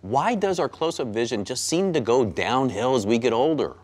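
A man's voice: mid-range voice, expressive, moderate pace, darkish tone, husky. A middle-aged man hosting a TV infomercial in a studio, upbeat and chatty. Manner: upbeat, chatty, self-deprecating. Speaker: infomercial host. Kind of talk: scripted infomercial chat. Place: TV studio set.

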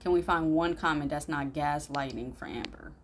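A woman's voice: low-pitched, moderate pace, a little hiss or hum. A young adult woman giving casual, slangy, opinionated reaction commentary, prone to exclaiming. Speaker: YouTube reaction host.

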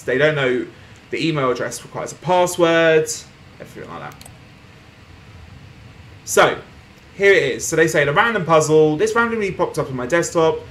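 A young man casually commenting on a desktop game as he plays, reading posts aloud and laughing softly, recorded at home with faint background noise. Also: fairly high pitch. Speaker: gaming streamer. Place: small room at home, indoors.

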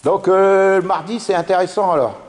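A man behind the camera casually interviewing judo club members, lively and prompting, often tagging questions onto what he says. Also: sits high, moderate pace. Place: large indoor judo dojo. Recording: echoey hall, faint noise.

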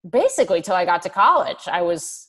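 Woman, early thirties, comedian guest, lowish voice, relaxed, reflective, casual swearing, video call from home. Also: brisk. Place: bright room at home, indoors. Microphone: over-ear headphones on a video call.

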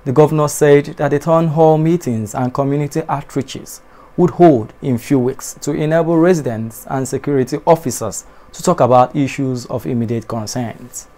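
A man's voice: medium pitch, dark tone. Man reading TV news. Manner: measured formal newsreading, dipping at sentence ends. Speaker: newscaster. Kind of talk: read news bulletin. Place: TV studio in front of a backdrop.

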